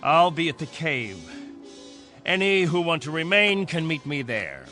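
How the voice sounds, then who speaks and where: Forceful, bitter captain. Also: male voice, on the high side, big pitch swings, measured pace, faint music behind, exaggerated voice. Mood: proud, resolute. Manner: forceful, defiant, declamatory. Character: stubborn, bitter, domineering male captain of stranded colonists. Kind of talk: dramatic radio drama acting.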